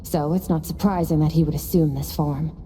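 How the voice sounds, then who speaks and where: Woman as an aloof female game warrior, low voice, very dark and mellow, stoic, measured, matter-of-fact mentor. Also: steady tone, faint music behind, lightly acted. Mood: detached, explaining. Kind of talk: video game character acting.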